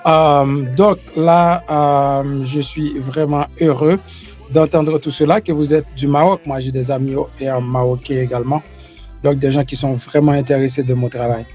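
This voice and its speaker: A man from Haiti hosting an online interview, speaking haltingly with many fillers and restarts. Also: medium pitch, steady tone, darkish tone, soft background music. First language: Haitian Creole. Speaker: YouTube host interviewing a lawyer. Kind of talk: casual interview.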